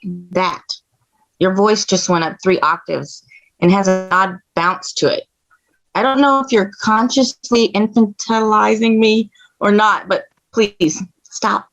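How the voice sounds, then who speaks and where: A woman's voice: mid-range voice, takes it slowly, gravelly. A woman playing an assertive, indignant fourteen-year-old girl doctor at a table read, matter-of-fact and precise. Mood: blunt. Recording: dull, lo-fi audio.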